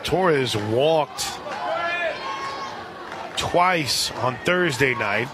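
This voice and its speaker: A man calling live softball play-by-play on the radio, with sponsor reads mixed in. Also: medium pitch, animated, extremely slow. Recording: crowd noise and music behind commentary. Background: stadium crowd and music.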